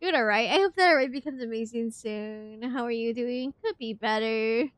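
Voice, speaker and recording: A woman streamer reacting casually and playfully to a game, excitable and joking with her chat. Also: on the high side, moderate pace, smooth, no room sound. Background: game music.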